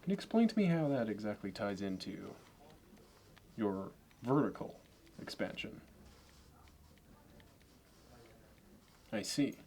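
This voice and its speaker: Male actor for courteous, fastidious Manhattan executive in ASMR roleplay; lowish pitch, unhurried, formal; some noise on the recording. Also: sing-song, mellow. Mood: measured, businesslike. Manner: measured, halting, meticulously appraising, politely formal. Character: polished, courteous, obsessively appraising male Manhattan finance executive.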